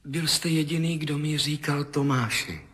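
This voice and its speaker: An actor playing a man adrift in a dreamlike vision in a 1970s radio play, with a husky voice and an urgent, awed way of talking. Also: fairly high pitch, a bit bright. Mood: hushed, pleading. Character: astronaut Tom, adult man, anxious and searching. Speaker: narrating lead character. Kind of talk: 1970s radio drama acting.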